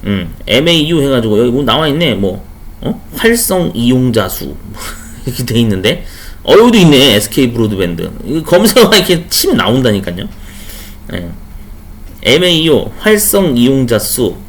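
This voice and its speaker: Man, exam tutor, casual screen-share lecture, lively up-and-down pitch, rhetorical asides. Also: moderate pace. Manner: chatty, frequent rhetorical "어?" checks and asides. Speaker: exam prep instructor.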